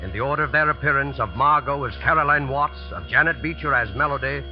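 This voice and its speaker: Male host for vintage radio suspense, medium pitch, formal and dramatic, strong music bed. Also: fast talker, highs cut off. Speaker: mystery show host. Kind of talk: 1940s radio scripted introduction.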